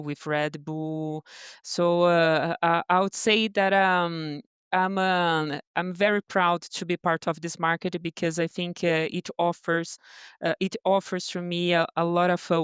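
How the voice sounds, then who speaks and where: A woman from Brazil with a Brazilian accent, answering interview questions with many pauses, fillers and restarts. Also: lowish voice, clean tone, no room sound, studio quality. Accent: Brazilian Portuguese. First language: Portuguese. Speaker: guest, brand partnerships director.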